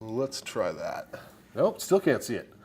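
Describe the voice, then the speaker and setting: A man's voice: on the low side, big pitch swings, unhurried, darkish tone, husky. A man commentating a live tabletop wargame, teasing the players and chuckling as he talks. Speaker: stream commentator.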